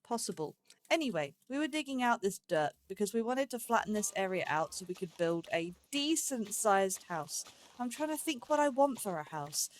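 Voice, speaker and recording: A woman's voice: mid-range voice, sing-song, normal speed. A woman giving casual let's play commentary as the host of a gaming series, rambling and thinking aloud as she goes.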